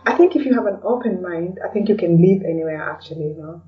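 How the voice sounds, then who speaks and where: A woman's voice: on the low side, lively intonation, fast. A woman being interviewed as a podcast guest, talking casually and hesitantly, thinking aloud with frequent "you know" tags. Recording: dull, lo-fi, distant from the mic.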